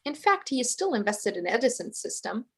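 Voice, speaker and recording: A woman's voice: fairly high pitch, very animated, fairly quick, vocal fry, husky, exaggerated voice. A woman playing a polite, principled young heiress in a period play reading, with formal and slightly hesitant diction. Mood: polite, candid. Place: indoors, remote online reading.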